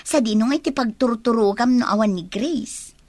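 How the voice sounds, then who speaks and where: Radio drama role: woman as nosy, suspicious young woman, husky, slightly high voice. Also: moderate pace, darkish tone, lightly acted. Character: suspicious, nosy woman questioning an older brother figure.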